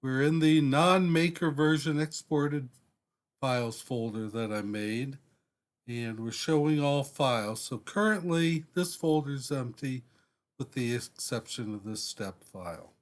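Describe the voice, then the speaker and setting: A man with an average-pitched voice talks through a software demonstration step by step. His pace is slow and deliberate. He speaks off the cuff, often halting partway through a sentence before carrying on. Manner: deliberate, halting, frequently pausing mid-sentence. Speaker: software tutorial presenter. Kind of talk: step-by-step screen demonstration, unscripted.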